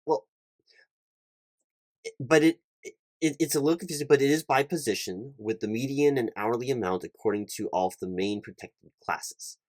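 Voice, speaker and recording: A man playing an earnest, slightly flustered recruiting manager in a one-sided job interview roleplay, speaking in halting, stammering corporate talk. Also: mid-range voice, a little mellow, dry recording.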